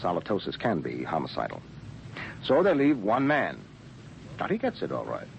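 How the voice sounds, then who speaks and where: An actor playing a seasoned, hospitable spaceship captain who explains things with easy authority in a 1950s radio drama style. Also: male voice, deep voice, huge pitch swings, normal speed, gravelly, full character voice. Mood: grave, explaining. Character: seasoned, worldly male spaceship captain, matter-of-fact and hospitable. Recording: muffled, noisy old radio recording.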